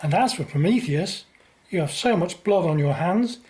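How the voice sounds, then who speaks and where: A man's voice: high-pitched, expressive, moderate pace, dark tone, a little hiss or hum. A man playing a solemn, resolute young hero. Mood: defiant. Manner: solemn, defiant. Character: resolute, self-sacrificing young male hero. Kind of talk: radio drama acting.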